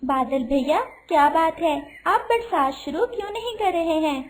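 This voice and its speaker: A woman voicing a kind, polite little female sparrow in a children's cartoon, gentle and earnest. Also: very high voice, animated, normal speed. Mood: earnest, coaxing. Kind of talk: Hindi children's cartoon voice acting.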